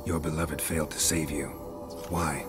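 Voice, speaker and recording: Stoic monster hunter, low male voice, clipped blunt delivery, deadpan, video game scene with clear music behind. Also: moderate pace. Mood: probing. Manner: terse, clipped, deadpan questioning. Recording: loud music under the voice. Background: game soundtrack music.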